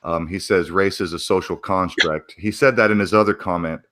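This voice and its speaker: A man hosting a casual livestream with a very low-pitched voice that still sounds bright. He reads out the chat in an easygoing, halting way, full of ums. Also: fairly even intonation, dry recording, studio quality. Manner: easygoing, hesitant, many ums. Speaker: host.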